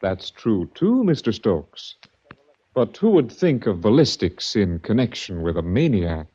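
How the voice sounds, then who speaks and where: An actor playing a smug, menacing villain with mocking courtesy in a 1940s radio mystery. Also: male voice, lowish voice, very animated, measured pace, mellow, husky, exaggerated voice. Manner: mockingly courteous, taunting, articulate. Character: smooth, smug, menacing villain framing his captive. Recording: dull vintage 1940s radio recording.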